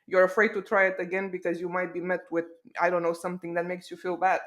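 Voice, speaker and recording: A woman from Romania hosting a podcast, asking questions in an animated, self-teasing way. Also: fairly low pitch, fast. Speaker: podcast host. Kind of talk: casual podcast conversation.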